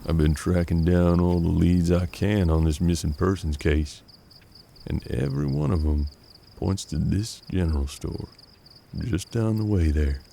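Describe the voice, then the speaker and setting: An actor playing a seasoned, gruff frontier detective in a western audio drama, measured and world-weary, with a gravelly voice. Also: male voice, sits low, mellow, slight background noise. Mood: calm, instructive.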